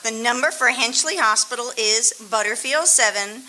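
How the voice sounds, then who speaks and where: A woman playing a brisk, polite information operator who answers in a formal way. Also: fairly high pitch, bright, forceful tone, poor audio.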